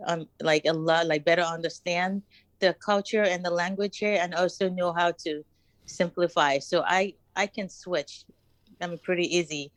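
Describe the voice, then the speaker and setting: A woman's voice: on the low side, no echo. A woman from Nigeria with a slight Nigerian accent, chatting frankly and emphatically as a podcast guest. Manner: frank and emphatic, quick to laugh. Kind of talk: casual podcast chat.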